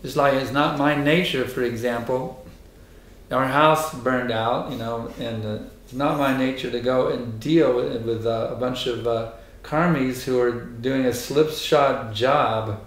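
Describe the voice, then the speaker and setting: A hoarse man giving a temple lecture and answering questions, emphatic and insistent, repeating his points. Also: mid-range voice, measured pace. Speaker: spiritual teacher giving a lecture. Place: temple hall. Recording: echoey hall.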